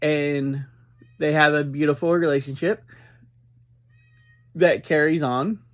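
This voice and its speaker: A man giving an informal, unscripted recap as a reviewer, speaking in halting, deliberate phrases with frequent breaks. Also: a little high, steady tone. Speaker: reviewer and host of an episode review series.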